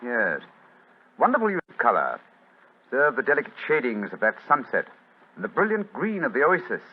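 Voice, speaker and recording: An actor playing an incisive English gentleman detective with a British accent and a crisp, authoritative manner, in 1940s radio style. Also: mid-range voice, wildly swooping pitch, a little fast. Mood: observant, musing. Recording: muffled old-time radio recording.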